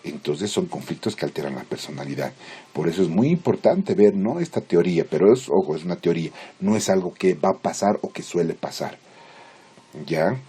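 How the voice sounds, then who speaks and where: A man lecturing in Spanish as a teacher, explaining theory informally in halting, didactic phrases with check-in questions. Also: low voice, lively intonation, warm tone, slightly rough. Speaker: psychology lecturer.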